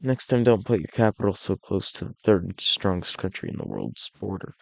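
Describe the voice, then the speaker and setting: A man narrating his alternate-history map series in a loose, rambling way, sounding a bit raspy and under the weather, often restarting and correcting himself. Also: deep voice, big pitch swings, moderate pace, no room sound. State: unwell, a bit hoarse.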